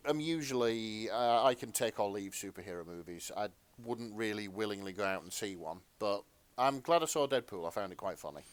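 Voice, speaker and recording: A man's voice: fairly low pitch, a little fast, hardly any pauses, no echo. A man co-hosting a podcast, chatting casually in a hesitant, self-correcting way. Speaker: podcast co-host. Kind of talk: casual chat.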